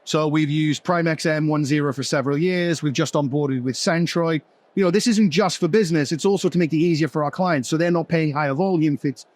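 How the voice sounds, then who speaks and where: A man leading a business panel, asking long questions in a rapid flow. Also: fairly high pitch, darkish tone, no room sound. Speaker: company executive leading the panel. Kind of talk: panel conversation.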